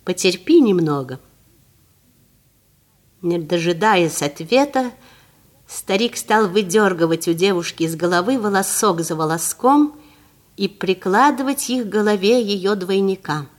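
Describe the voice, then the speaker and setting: A woman narrating a children's fairy tale in a calm, measured and expressive way. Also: medium pitch. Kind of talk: scripted storybook narration for children.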